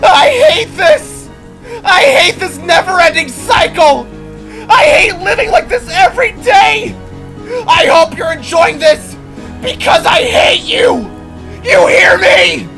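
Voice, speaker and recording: A man's voice: very high voice, big pitch swings, slow, gravelly, over music, voice pushed up, exaggerated voice. A man voicing an anguished, enraged spark, a grieving father screaming in sorrow and fury in a fantasy audio story.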